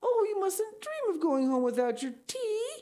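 Woman acting a high-pitched, melodramatic young girl. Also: big pitch swings, normal speed, dark tone. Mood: urgent, pleading. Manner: gushing, melodramatic, emphatic. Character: dramatic, talkative, earnest young girl, easily distressed. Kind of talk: storybook character voice.

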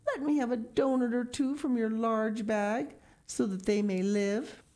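A woman voicing a hungry wolf who pleads in a high, crying tone for food for her starving cubs, in a read-aloud story. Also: fairly even intonation, unhurried, warm tone, natural voice. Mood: pleading.

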